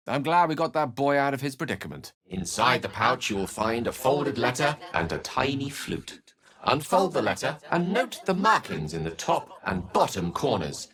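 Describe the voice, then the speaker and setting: An actor playing a courteous, bookish human wizard with a melancholy streak, speaking articulate, theatrical lines for a video game. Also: male voice, fairly low pitch, a bit bright.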